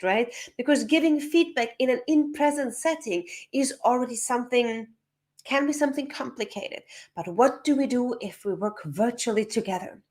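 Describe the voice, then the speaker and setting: A woman hosting a live online interview, introducing a topic and guest and asking questions. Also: fairly high pitch.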